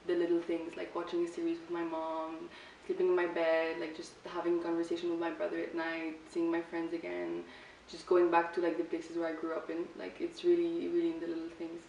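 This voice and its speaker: Teen woman, 18, from Lebanon: low, monotone, creaky delivery, recorded from a distance in a roomy space. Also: fairly quick, highs cut off. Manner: relaxed, reflective, many "like" fillers. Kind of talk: casual personal answers to camera. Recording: echoey room, speaker set back from the mic, faint hiss.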